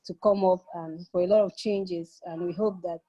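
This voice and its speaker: A woman's voice: fairly low pitch, fairly even intonation, moderate pace, warm tone, slightly rough, muffled recording. A woman from southern Nigeria, a lawyer and entrepreneur, introducing herself in a friendly, chatty way on a video call. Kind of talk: self-introduction.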